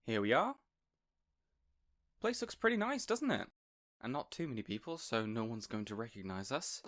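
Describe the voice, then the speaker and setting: A man's voice: mid-range voice, sing-song, moderate pace, fairly bright tone, a little hiss or hum. A man playing an affable supervillain boss in an audio roleplay, chatty and awkwardly earnest, playfully bossy with an assistant. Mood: cheerful, eager. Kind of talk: audio roleplay acting addressed to listener.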